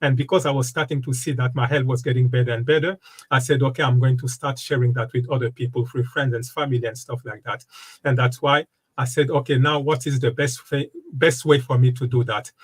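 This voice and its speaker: Man, 50s, from Congo, interview guest, wellness coach, hesitant with fillers, mid pitch. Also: fairly even intonation, husky. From Democratic Republic of Congo. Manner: halting, many fillers and restarts. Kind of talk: casual interview conversation.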